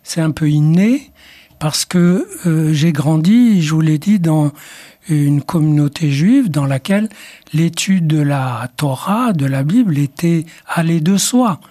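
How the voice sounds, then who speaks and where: Slow, husky-voiced older man. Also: fairly high pitch, animated, dark tone. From Morocco. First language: Arabic. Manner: slow, reflective, with hesitant restarts. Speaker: psychoanalyst guest. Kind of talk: radio interview.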